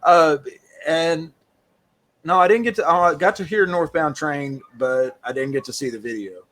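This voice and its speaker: Chatty folksy host. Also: male voice, on the high side, moderate pace, fairly bright tone. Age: middle-aged. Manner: chatty, easy-going, folksy. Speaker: podcast host. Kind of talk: casual interview chat.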